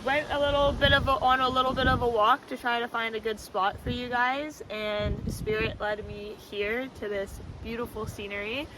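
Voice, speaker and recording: A woman with a loud voice rambling casually about spiritual ideas, full of fillers, talking to camera outdoors on a windy spot. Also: fairly high pitch, little pitch movement, normal speed, hardly any pauses. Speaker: spiritual vlogger. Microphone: camera mic, no external microphone.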